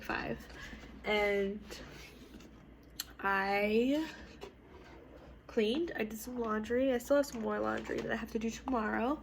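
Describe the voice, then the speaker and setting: A young woman in her twenties chats loosely and rambles, often correcting herself mid-sentence. Her fairly high voice is a little hoarse from a cold. There's a steady hiss of noise behind her. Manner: rambling, chatty, self-correcting, laughs often. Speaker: vlogger. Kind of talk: casual chat. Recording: clear background noise.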